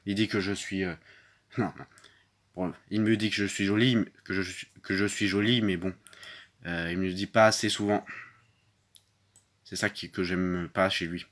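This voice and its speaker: A man voicing a young battered wife who speaks softly and haltingly, repeating herself and trailing off. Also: low voice, steady tone. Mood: downcast.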